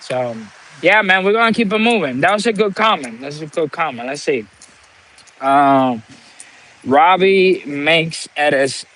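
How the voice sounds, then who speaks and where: Slow, preachy man. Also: fairly high pitch, animated, fairly bright tone, poor audio. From Dominican Republic. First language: Spanish. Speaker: livestream host. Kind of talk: motivational live-stream talk.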